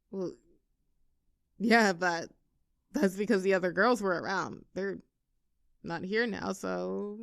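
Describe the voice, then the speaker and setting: A woman playing a popular high school cheerleader bully with a hidden soft side in an audio roleplay, teasing and sarcastic. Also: clean tone, no echo, studio quality. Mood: defensive, hesitant. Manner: teasing, sarcastic, with flustered stammers when cornered. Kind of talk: audio roleplay acting, one side of a dialogue.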